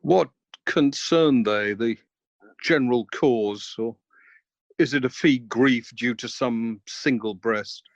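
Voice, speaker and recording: A man playing a grief-stricken nobleman and warrior father in an amateur Shakespeare radio play, in a halting, anguished delivery. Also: medium pitch, no room sound, lo-fi sound. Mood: anxious questioning. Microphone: recorded over video call.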